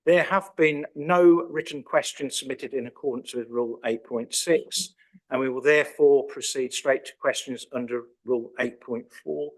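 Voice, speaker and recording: A man chairing a council meeting, reading procedure in a measured, formal way. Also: medium pitch, poor audio. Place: council chamber.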